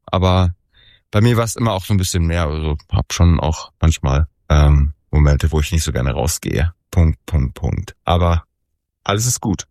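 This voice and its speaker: A man's voice: rumbling low, normal speed, a little mellow, no room sound, clean studio sound. A man in his forties, a musician being interviewed, talking casually and rambling with many fillers and restarts. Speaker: musician guest.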